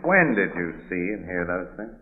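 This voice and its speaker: An actor playing a genial, wry, level-headed crime photographer with a breezy, colloquial delivery in an old-time radio drama. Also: male voice, low-pitched, big pitch swings, moderate pace. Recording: tinny, noisy old radio recording, echoey.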